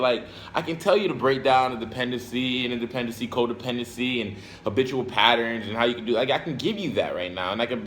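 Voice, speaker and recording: A man's voice: medium pitch, a bit bright. A man with a mid-range voice talks off the cuff like a self-help vlogger. He is blunt and casual, swears freely, and repeats phrases to drive them home. There is some ambient noise behind him. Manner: emphatic, repeats phrases for stress. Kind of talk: rambling motivational talk to camera.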